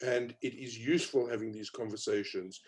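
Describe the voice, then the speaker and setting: Man, South African accent, middle-aged, mellow tone, reflective, hesitant, panel talk. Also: on the low side, steady tone, fairly quick. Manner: reflective, hesitant with many fillers. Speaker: panel speaker. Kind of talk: panel discussion.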